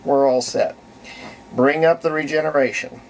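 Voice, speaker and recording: A man's voice: on the high side, fairly even intonation, normal speed, a little hiss or hum, poor audio. Folksy American man, casual hobbyist demo. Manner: folksy, colloquial, thinking aloud. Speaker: hobbyist demonstrating homemade radio. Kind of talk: casual hands-on demonstration.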